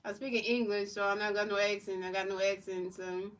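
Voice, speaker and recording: Flat-voiced woman streamer calling a football game. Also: medium pitch, distant mic. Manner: announces plays in a measured, even delivery; talks back to chat in casual slang. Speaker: streamer playing and commentating a football video game. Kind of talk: play-by-play game commentary mixed with chat replies.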